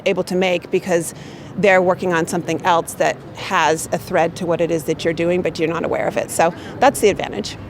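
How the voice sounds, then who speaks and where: Loud-voiced American woman, chatty. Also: on the low side, little pitch movement, fairly quick, background noise. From United States. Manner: chatty, many fillers and restarts. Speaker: corporate marketing manager. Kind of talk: unscripted interview answer.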